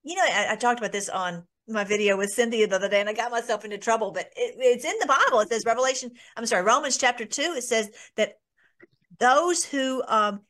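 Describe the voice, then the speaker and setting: A woman's voice: fairly high pitch, fast, a bit bright. A woman hosting an informal online Bible study, talking in a rambling way with many restarts and fillers.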